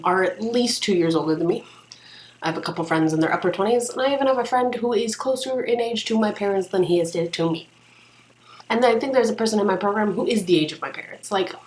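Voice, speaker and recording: A woman in her early twenties ranting casually to the camera, animated and frank, laughing often between sentences. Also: mid-range voice. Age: 20s. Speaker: vlogger. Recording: echoey room.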